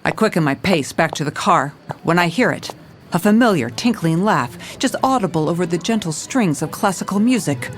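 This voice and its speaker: A woman's voice: lowish voice, expressive, moderate pace, lightly acted. A woman playing a jaded, hard-boiled police commissioner, narrating a noir audio drama in a dry, world-weary, stern way. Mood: tense, wry.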